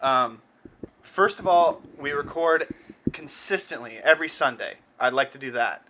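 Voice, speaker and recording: A man's voice: mid-range voice, lively intonation, moderate pace, background noise. A man hosting a casual podcast, bantering in a crude, slangy, jokey way. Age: around thirty. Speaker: podcast host.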